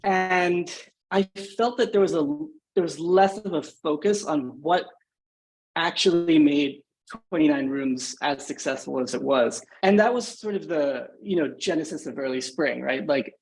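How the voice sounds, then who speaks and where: A man's voice: fairly high pitch, measured pace, rough recording. A man from Singapore in his thirties, a podcast guest talking thoughtfully with lots of "you know" and "right?" fillers. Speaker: guest, agency founder. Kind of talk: podcast interview.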